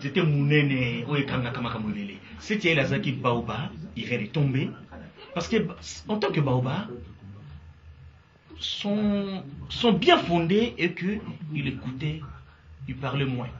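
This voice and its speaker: A man's voice: medium pitch, expressive, unhurried. A man with a Congolese French accent giving emphatic interview answers and mixing in Lingala. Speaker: guest. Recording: echoey, distant, dull sound.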